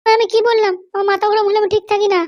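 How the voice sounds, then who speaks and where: Guy doing a super high chipmunky bossy bengali wife from west bengal, scolding fast, cartoon. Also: level tone, no echo, studio quality. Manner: scolding, threatening. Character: bossy, short-tempered middle-aged wife and mother-in-law. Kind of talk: comic cartoon dialogue.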